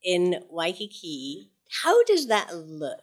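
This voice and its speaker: A woman's voice: lowish voice, huge pitch swings, slow, slightly rough. Lady host interviewing chefs, encouraging, keeps it moving. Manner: engaged, encouraging questions.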